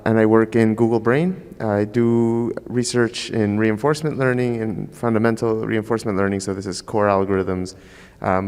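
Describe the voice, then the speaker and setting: A man from Ecuador speaks on a conference panel in a slightly low, very clear voice. He is thoughtful and measured, with frequent ums. A long hall reverb follows his words. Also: darkish tone. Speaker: panelist, researcher.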